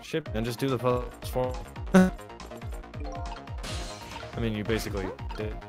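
A man's voice: medium pitch, unhurried. A man chatting casually and swearing freely as he plays and reads out a game on a live stream. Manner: casual, profane. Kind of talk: gaming stream chat and line reading. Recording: game music behind voice. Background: game music.

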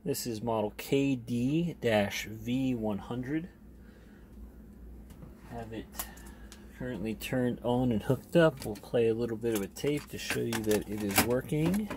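A man giving a casual, unscripted product demonstration as an online seller, plainspoken and a bit halting. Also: mid-range voice, noisy background.

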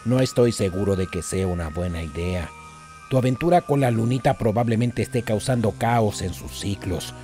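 A man voicing a weary, sarcastic little insect guide with a deadpan Latin American delivery in a comic dub. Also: on the low side, normal speed, natural voice. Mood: dry. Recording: background music behind the voice.

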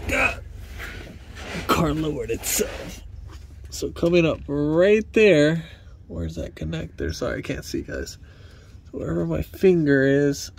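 Slightly high-voiced man explaining car repairs. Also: a little mellow. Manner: conversational, halting, addressing viewers as guys. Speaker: auto mechanic and channel host. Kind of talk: informal hands-on repair explainer to camera. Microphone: handheld phone at arm's length.

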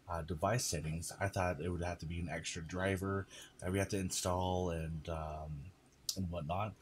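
A man giving a casual, informal software tutorial, chatting to viewers as he goes. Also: low voice, slight background noise. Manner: informal, addresses viewers as guys. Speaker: video creator, tutorial host. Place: indoors at a computer.

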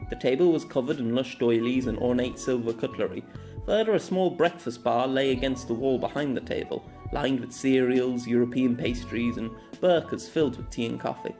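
A man's voice: mid-range voice, normal speed, dark tone. Male story narrator over music.

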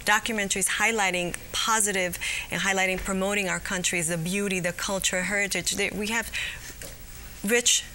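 A woman's voice: medium pitch, steady tone, bright, forceful tone, slight background noise. A woman journalist born in Guatemala and raised in New York, speaking earnestly and measuredly as a guest on a TV studio set, with a lapel mic. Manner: earnest, measured, with many um and uh fillers. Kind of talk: TV interview.

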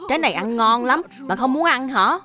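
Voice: high voice, animated, brisk, pushed and bright. A performer voicing a friendly, playful small boy in a cartoon dub, agreeable and inviting. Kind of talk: Vietnamese cartoon dub acting.

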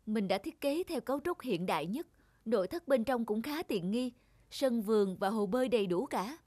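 A woman's voice: on the high side, lively intonation, moderate pace, warm tone, close to an everyday voice. A woman voicing an earnest, polite young male architecture student in a cartoon moral tale, with neat enunciation. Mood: pensive. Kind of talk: animated moral tale dubbing.

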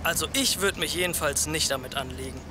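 A friendly, slangy buddy with a high voice acted by a man talks casually in an audio drama. Clear outdoor vehicle noise runs behind him. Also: brisk, close to an everyday voice. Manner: colloquial, buddy-like.

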